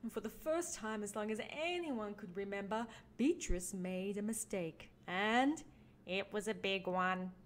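A woman reading a children's picture book aloud as a teaching artist, with expressive emphasis for young listeners. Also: medium pitch, a little slow, slight background noise. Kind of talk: animated children's storybook read-along.

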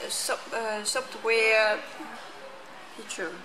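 A woman with a Chinese accent and a fairly high voice. She gives short, halting explanations as a tour guide. Clear workplace noise surrounds her. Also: level tone, echoey room. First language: Mandarin Chinese. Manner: halting, brief answers in limited English. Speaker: sales manager guiding a tour. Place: open-plan office and small factory. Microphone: camera held nearby. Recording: clear office and factory noise.

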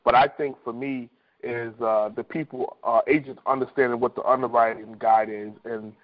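A man with a mid-pitched voice speaks as a team leader on a conference call. He coaches conversationally, often repeating himself, and the telephone line makes him sound dull and lo-fi. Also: fairly even intonation, moderate pace. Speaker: insurance agent team leader.

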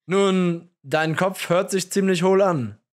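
A man's voice: high voice, slow, pitched above the performer's own voice. A man voicing a flippant, teasing male field agent in an audiobook. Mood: mocking. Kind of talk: audiobook character acting.

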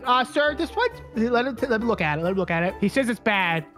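A young man bantering casually and excitably as he plays along, often repeating his phrases. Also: high-pitched, quick pace. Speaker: co-player. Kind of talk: casual gameplay banter, light roleplay. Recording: game music and gunfire behind. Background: game music, gunfire.

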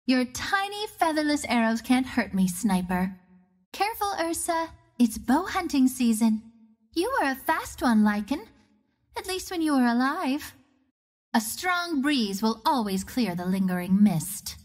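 Video game hero: a self-assured young woman archer of the wind, teasing and theatrical, with lively swooping intonation. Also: high voice, unhurried, heavily acted. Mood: cocky, teasing. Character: confident, wry young female archer ranger of the wind.

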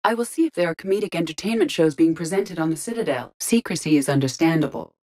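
An actress voicing a female artificial intelligence in a video game, speaking in a measured, formal, precise and detached way. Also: low-pitched, expressive, a little mellow. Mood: calm, analytical. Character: calm, analytical female artificial intelligence in an android body.

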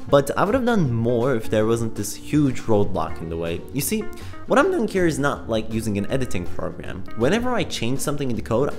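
A young man hosting a scripted vlog about his coding project, talking into a close handheld mic in a self-deprecating, dryly joking way. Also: mid-range voice. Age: young adult. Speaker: video creator, host. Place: home room, indoors, mostly. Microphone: handheld microphone held close.

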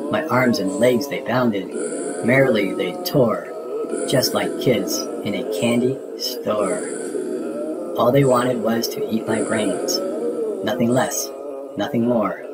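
Slow, low-voiced man telling a spooky story. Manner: theatrical, deliberate. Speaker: storybook narrator. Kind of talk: dramatic rhyming read-aloud of a spooky children's story.

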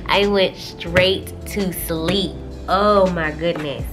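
A woman with a stuffy cold voice, chatting casually and laughing often as she works through her budget planner on camera. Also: fairly low pitch. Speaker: budgeting vlogger. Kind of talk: casual chat while working in a planner. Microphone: camera overhead on a desk.

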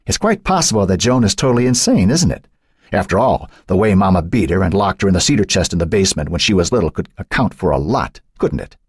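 Man doing a icy taunting woman's alter self, husky, raised voice, lively swings. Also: sits low, fast talker, no room sound, clean studio sound, full character voice. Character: cold, mocking woman, a hidden second self.

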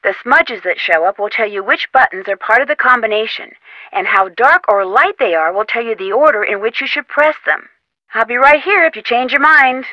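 A woman's voice: on the high side, expressive, moderate pace, bright, forceful tone, no room sound, highs cut off. An actress voicing a young woman friend in a video game, chatty and encouraging. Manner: chatty, encouraging, over the phone. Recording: dry studio voice-over.

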